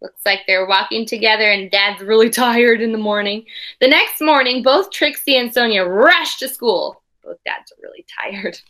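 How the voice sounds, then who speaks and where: A woman doing an expressive, dramatic children's read-aloud, pausing with asides to explain things simply like a teacher. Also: a little high. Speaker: teacher reading a picture book to young pupils.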